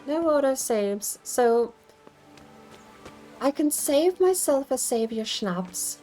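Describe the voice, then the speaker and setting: A woman with a fairly high voice chats casually as she streams a game. She rambles and thinks aloud, talking to viewers over faint background music. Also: animated, slow pace, dark tone. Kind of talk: casual livestream game commentary.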